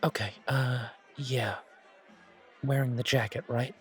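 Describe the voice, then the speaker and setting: A man's voice: medium pitch, fairly even intonation, slow pace, faint music behind, lightly acted. Friendly bartender, played by a man, mid-range voice, casual and soothing roleplay delivery, light crowd murmur behind. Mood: concerned, reassuring. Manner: chatty, hesitant, soothing. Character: friendly, reassuring male bartender at a supernatural bar. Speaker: audio roleplay voice actor. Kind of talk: casual, naturalistic one-sided roleplay acting. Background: bar crowd chatter.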